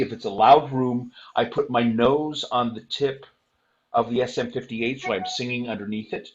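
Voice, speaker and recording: Loud older man, lo-fi call audio. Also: mid-range voice, a little hiss or hum. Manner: loud, practical advice with many fillers and restarts. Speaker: panelist, performing musician. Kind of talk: online convention panel talk.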